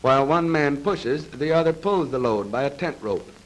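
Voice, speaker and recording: A man reads scripted narration for a 1930s military training film in a formal, measured, precise manner, on a vintage film soundtrack. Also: fairly high pitch, animated, slight background noise, rough recording. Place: voice-over recorded for a film.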